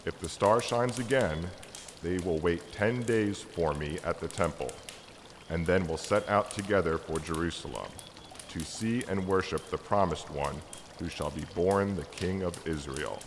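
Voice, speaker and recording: Devout, hopeful Magus male reader, low-pitched, earnest and solemn, dramatic Christmas story reading, echoing hall. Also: measured pace. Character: Artaban, devout, hopeful Persian Magus and stargazer. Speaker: amateur church reader. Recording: echoey hall reverb with background noise.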